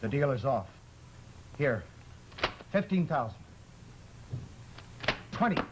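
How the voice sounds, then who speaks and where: An actor playing a desperate, frightened husband, agitated and halting, in melodramatic old-time radio horror style. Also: male voice, mid-range voice, sing-song, background noise. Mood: frantic bargaining. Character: desperate, frightened husband bargaining with the Devil for wife. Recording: vintage radio drama, music behind.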